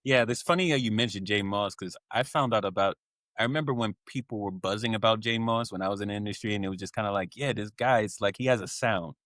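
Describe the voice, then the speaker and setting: A man with a low voice co-hosts a casual podcast. He talks at an easy pace, nodding along and adding his own memories. The recording is close and dry. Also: quick pace, no echo. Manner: relaxed, affirming interjections. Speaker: podcast co-host.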